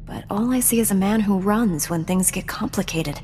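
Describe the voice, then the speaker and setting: An actress as a composed space knight heroine, reverberant. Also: female voice, medium pitch, moderate pace, darkish tone, faint music behind, natural voice. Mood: earnest, wounded. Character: principled, composed female Jedi knight, gently teasing. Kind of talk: video game dialogue acting.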